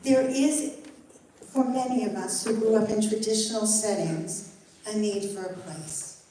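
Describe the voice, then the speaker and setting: A woman's voice: mid-range voice, a little slow, warm tone. An older woman teaches as a guest rabbi in an earnest, encouraging way, speaking straight to the group. Her voice is recorded from a distance in a very echoey hall, with a faint hiss underneath. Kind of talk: Torah study teaching with Q&A. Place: synagogue hall. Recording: echoey room, mic far off, faint noise.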